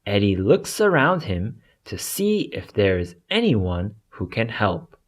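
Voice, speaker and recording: Slow, animated, husky children's storybook narrator. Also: deep voice. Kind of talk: scripted read-aloud for young children.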